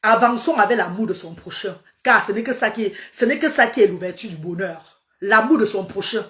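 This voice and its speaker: A woman's voice: mid-range voice, fairly quick, fairly bright tone. A woman with a Cameroonian French accent, a native Medumba speaker, giving an emphatic, repetitive testimony on a phone live stream.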